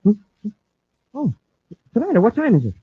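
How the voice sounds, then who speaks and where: Eager, animated young space cadet. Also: male voice, extremely high pitch, normal speed, breathy edge, dry recording, exaggerated voice. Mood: sleepy, protesting. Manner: excitable, deferential, puzzled. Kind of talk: 1950s radio adventure serial acting. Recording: tinny, band-limited old radio recording.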